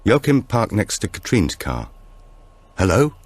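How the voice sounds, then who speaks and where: Male audiobook narrator for supernatural thriller, deep register, expressive pitch movement, measured suspense, dry studio sound. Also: no echo. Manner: measured, suspenseful storytelling with animated dialogue.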